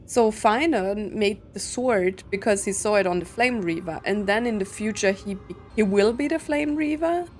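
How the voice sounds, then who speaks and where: A woman's voice: mid-range voice, moderate pace, few pauses, darkish tone, slight background noise. A woman doing casual let's-play commentary, musing aloud in a conversational way as she plays. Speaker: gaming content creator.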